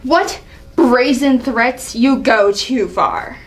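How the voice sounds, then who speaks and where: A woman's voice: on the high side, very slow. A woman acting an enraged, proud king and father in a dramatic reading of Greek tragedy, with an indignant, imperious delivery. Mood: furious. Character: proud, enraged male king, father berating his son.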